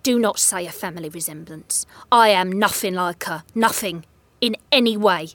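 An actress playing a quick-tempered young maid, who gives brisk, exasperated retorts. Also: female voice, lowish voice, a bit bright, dry recording, lightly acted.

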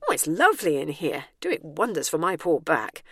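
A cheeky older lady from a storybook, smug and breezy, with lively swings in pitch. A woman plays her close to her own voice. The recording is dry and close. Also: moderate pace, slightly rough, dry recording, exaggerated voice.

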